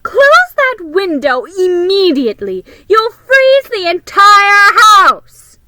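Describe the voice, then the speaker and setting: A bossy teenage big sister from a storybook, played by a woman, high and shrill. The voice is sharp and very loud, snapping out imperious orders. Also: sing-song, slow pace, dry recording, exaggerated voice. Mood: bossy outrage. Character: bossy fourteen-year-old big sister.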